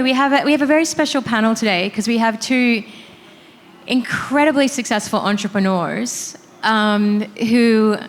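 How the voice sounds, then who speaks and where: A woman moderating a panel, introducing the guests in a chatty way with many fillers. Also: mid-range voice. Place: conference hall. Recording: reverberant hall with faint noise.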